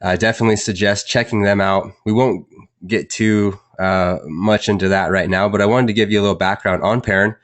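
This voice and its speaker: A man hosting an online session, introducing a guest in a halting, casual way with many fillers. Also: fairly low pitch, fairly even intonation. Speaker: webinar host introducing a guest.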